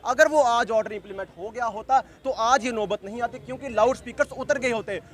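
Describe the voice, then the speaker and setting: A man's voice: very high voice, fast. A man giving an insistent street interview, repeating phrases as he argues a political point. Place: outdoors at a street protest.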